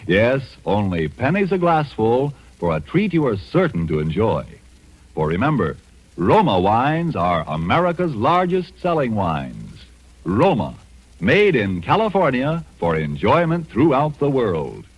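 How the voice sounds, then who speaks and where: A man's voice: medium pitch, huge pitch swings, unhurried, breathy edge. A man reading a scripted 1940s radio advertisement as a polished, emphatic announcer. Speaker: commercial announcer. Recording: dull lo-fi vintage radio, music behind.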